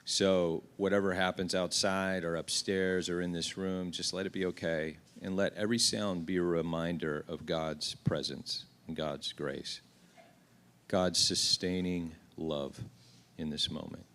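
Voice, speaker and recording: A man with a Norwegian accent, a pastor, guiding a slow, soft, prayerful meditation in a church. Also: low-pitched, steady tone. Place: church sanctuary.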